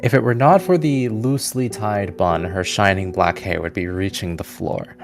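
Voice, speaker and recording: A game master narrating a tabletop fantasy game in a measured, deliberate way, with descriptive detail. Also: sits low, over music.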